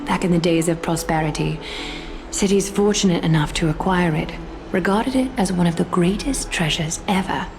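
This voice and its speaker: Music plays under an actress as a regal woman ruler from a fantasy game. Her voice sits low with a marked creak, and every phrase is formal, measured and composed. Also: close to an everyday voice. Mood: stately, gracious. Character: regal, composed noblewoman leader of a fantasy city. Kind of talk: scripted video game voice acting. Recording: music behind the voice.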